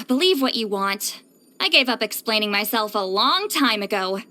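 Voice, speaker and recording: A high, bright, flustered shaman girl. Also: lively intonation, normal speed. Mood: exasperated, resigned. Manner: hesitant, self-conscious, dryly exasperated. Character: flustered, reclusive, grumbling centuries-old shaman woman with youthful voice. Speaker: video game character voice actress. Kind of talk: scripted game character monologue.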